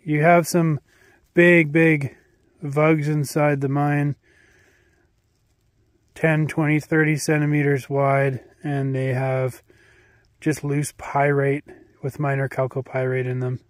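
A man's voice: fairly high pitch, flat delivery, slow pace, pauses often, no room sound, clean studio sound. A man casually narrating outdoors at a snowy old mine site, naming minerals as he holds up rock samples for a handheld camera. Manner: matter-of-fact, names minerals while showing rock samples. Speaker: mineral exploration vlogger.

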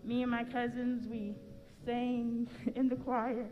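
American lady, middle-aged, higher voice, chatty storytelling at a church mic, big hall reverb. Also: level tone, slow pace, dull audio. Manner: casual, anecdotal. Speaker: artist and church member. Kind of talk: informal testimony to a congregation. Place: large church sanctuary. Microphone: stand microphone. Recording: long hall reverb, faint music.

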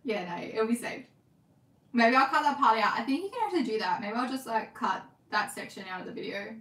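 A young Australian woman chatting casually on a livestream from her sewing room, reading comments, talking fast and laughing often. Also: on the high side, animated, fairly bright tone, roomy sound, poor audio. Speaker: livestream host, scrunchie maker. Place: home sewing room, indoors.

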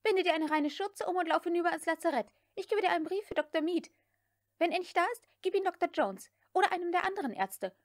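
A woman voicing a headstrong, impatient young Southern woman in an audiobook, speaking in a brisk, commanding way. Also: extremely high pitch, lively intonation, voice pushed up. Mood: angry, urgent.

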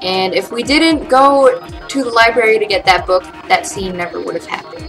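A woman's voice: mid-range voice, moderate pace, a bit bright, poor audio. A young adult woman giving a casual tutorial as host, talking through what happens on her screen. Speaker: tutorial host, game developer. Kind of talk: casual screen-recorded software tutorial with read-out dialogue. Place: small home room, indoors. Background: background music.

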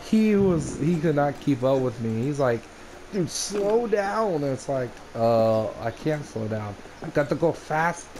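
A man from the United States giving casual, rambling let's play commentary into a gaming headset. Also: medium pitch. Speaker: gamer recording a let's play. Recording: noisy headset mic, game ambience. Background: video game ambience.

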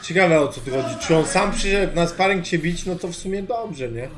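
A man's voice: a little high, animated, normal speed, crowd noise. A man in his thirties giving casual, blunt commentary on a live stream, musing aloud and swearing freely. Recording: stream audio with music underneath. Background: music.

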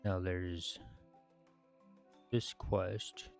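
A man in his thirties reading game quest text aloud in measured phrases, with short pauses and some casual narration. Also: low-pitched, level tone, gravelly, a little mellow, soft background music. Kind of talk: scripted read-aloud with casual gameplay narration.

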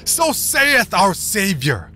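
A man voicing a fiery frontier preacher who rants and booms scripture at a crowd. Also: slow, faint music behind, close to an everyday voice. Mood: zealous. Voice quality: booming, ranting. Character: fiery fire-and-brimstone male preacher.

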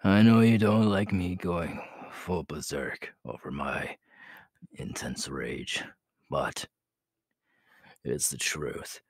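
A man playing a ruthless, menacing young werewolf alpha in an ASMR audio roleplay, gravelly and breathy, slow and boastful, often dropping to a whisper. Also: a bass voice, steady tone, no room sound, clean studio sound, exaggerated voice. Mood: menacing, ambitious.

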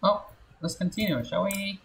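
A woman's voice: mid-range voice, moderate pace, creaky. A woman game streamer giving casual playthrough commentary.

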